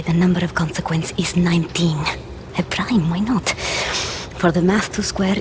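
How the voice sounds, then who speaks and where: Low, precise, eccentric woman played by an actress. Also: noisy background, lightly acted. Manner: precise, halting, partly whispered. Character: eccentric fatalistic woman obsessed with numbers. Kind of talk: video game drama.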